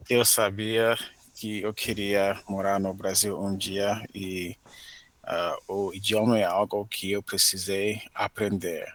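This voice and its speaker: Casual: american guy, english native, low voice, pretty flat delivery, stops a lot with little "ah"s, dry close sound. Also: dry recording, poor audio. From United States (Maryland). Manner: careful learner's speech with frequent "ah" pauses. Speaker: interview guest, Portuguese learner. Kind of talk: podcast interview.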